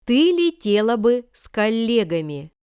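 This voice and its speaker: Woman, slow careful lesson reading, smooth crisp voice, deliberate enunciation. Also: a little high, big pitch swings, a little mellow, no echo, studio quality. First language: Russian. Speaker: language lesson reader. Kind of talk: reading example sentences slowly for learners.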